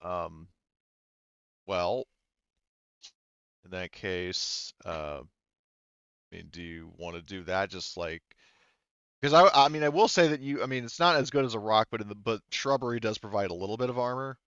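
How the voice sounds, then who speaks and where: A man with a smooth, low voice runs a tabletop game. He explains the options casually, qualifying as he goes. The recording is dry and slightly muffled. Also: moderate pace, pauses often, fairly bright tone, no room sound. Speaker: game master.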